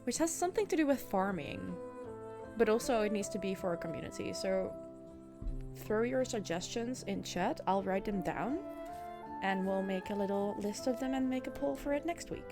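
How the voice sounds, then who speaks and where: A woman's voice: mid-range voice, expressive, normal speed, music in the background. A young woman with a Dutch accent, streaming a game and chatting casually with her viewers, often restarting sentences. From Netherlands. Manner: chatty, answers chat, frequent laughs and restarts. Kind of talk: casual chat with viewers while gaming.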